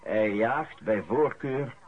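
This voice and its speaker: A man's voice: mid-range voice, slow, natural voice. An actor playing a man reading the newspaper aloud in a radio drama. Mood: measured, matter-of-fact. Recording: dull old radio recording.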